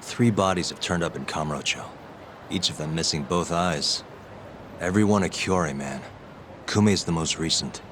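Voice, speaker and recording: An actor playing a composed, confident lawyer turned private detective in a video game. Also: male voice, deep voice, normal speed, noisy background, natural voice. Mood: grim, resolute. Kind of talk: English video game voice acting.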